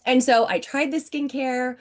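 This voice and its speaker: Woman, 40s, upbeat chatty presenter pitching over a video call from home. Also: fairly even intonation, unhurried. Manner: upbeat, chatty, keeps addressing the audience directly. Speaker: network marketing presenter.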